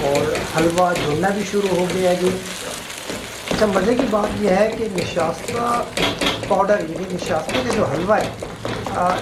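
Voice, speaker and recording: A man hosts a cooking demonstration in a gravelly voice. He explains steadily and repeats his tips. The sound is echoey, with faint noise and frying behind him. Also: sits high. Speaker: cooking show host.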